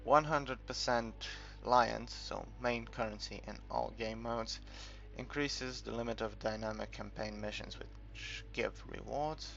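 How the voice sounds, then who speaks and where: A man's voice: medium pitch, steady tone, unhurried, gravelly, few pauses, fairly bright tone. A man from the UK giving a casual, unscripted game walkthrough, rambling and hesitant as he thinks aloud. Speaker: gamer making a game tutorial. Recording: muffled, dull recording with background music.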